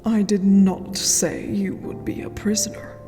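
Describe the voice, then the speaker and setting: A woman's voice: mid-range voice, flat delivery, warm tone, close to an everyday voice. A woman playing a cold, stern vampire queen in a fantasy ASMR roleplay, regal and commanding. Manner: slow, commanding, breathy whispered delivery. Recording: long hall reverb, music underneath.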